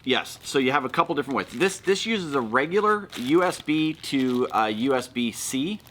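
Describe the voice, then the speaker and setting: A man's voice: medium pitch, lively intonation, normal speed, fairly bright tone, a little hiss or hum. A man giving casual, matter-of-fact unboxing commentary, explaining things as a vlog co-host.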